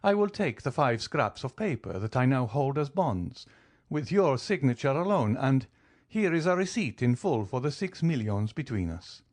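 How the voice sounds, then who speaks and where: A man's voice: medium pitch, sing-song, normal speed, darkish tone, voice pushed up. A man voicing a suave, wealthy count who speaks in a polished, teasing, formal way. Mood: cool, composed. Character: suave wealthy male count, coolly ironic.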